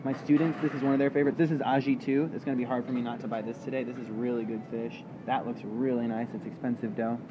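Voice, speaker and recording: A man's voice: mid-range voice, flat delivery, quick pace, hardly any pauses. A young man giving a chatty, joking walking tour of a supermarket fish aisle, filmed on a handheld camera. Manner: chatty, offhand, jokey. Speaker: vlogger. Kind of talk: casual walking tour commentary. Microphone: handheld camera held close. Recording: dull lo-fi camera audio with loud store noise. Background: loud supermarket hum and bustle.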